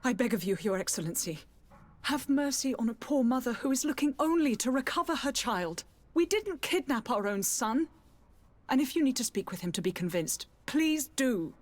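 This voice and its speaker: Proud, high-voiced noblewoman mother. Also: animated, normal speed. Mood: alarmed, pleading. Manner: formal, courteous, defensive. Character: proud, anxious middle-aged noblewoman mother, pleading. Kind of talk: video game dialogue acting.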